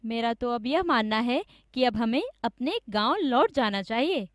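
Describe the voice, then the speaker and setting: Woman as a high, earnest, honest village fellow. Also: measured pace, dry recording, studio quality. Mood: agreeable. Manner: earnest, expressive. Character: honest, trusting village man, a loyal friend.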